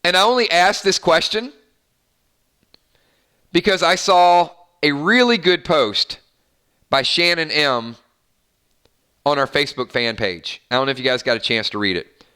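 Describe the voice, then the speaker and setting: A man with a bright, fairly high voice hosts a relaxed live stream. He chats with his viewers, reacts to their comments and rambles along with plenty of fillers. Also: measured pace, pauses often, clean tone. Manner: chatty, upbeat, lots of fillers and restarts. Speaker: host of a fan live stream.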